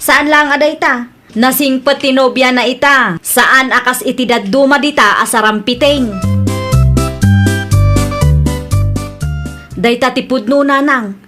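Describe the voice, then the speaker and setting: A woman's voice: sits high, steady tone, moderate pace, pushed and bright, faint music behind. A woman with a Filipino Ilocano accent playing a gossipy, suspicious young sister in a comedy drama. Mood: scornful.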